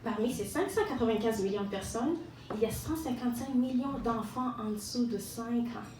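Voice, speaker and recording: A woman from Rwanda performs a self-mocking, ironic stand-up monologue, often pausing and repeating words. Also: on the high side, warm tone. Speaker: stand-up comedian. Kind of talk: stand-up monologue to a live audience. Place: live venue with an audience. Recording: echoey room, distant mic, audience noise.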